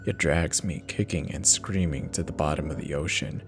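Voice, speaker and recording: A man's voice: extremely low pitch, faint music behind. A man giving a theatrical, intense first-person dramatic reading as a horror story narrator. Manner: theatrical, measured, intense delivery.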